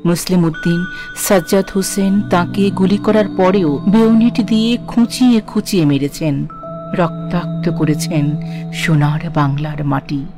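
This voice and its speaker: A woman reading a solemn scripted introduction as presenter. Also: fairly low pitch.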